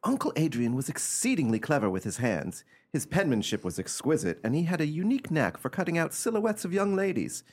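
A man playing an eccentric gentleman who recounts an uncanny family tale in a theatrical, emphatic radio drama style. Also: fairly high pitch, big pitch swings, brisk, hardly any pauses, husky. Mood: reflective, admiring.

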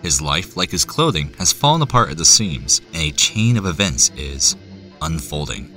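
A man's voice: a bass voice, very animated, moderate pace, over music. A man hosting a fiction podcast, reading a scripted introduction. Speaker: podcast host.

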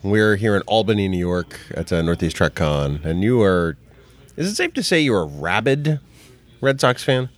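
A man's voice: fairly low pitch, expressive, moderate pace. A man hosting a podcast, teasing his co-host in dry, casual banter. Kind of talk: casual chat. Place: hotel room at a convention.

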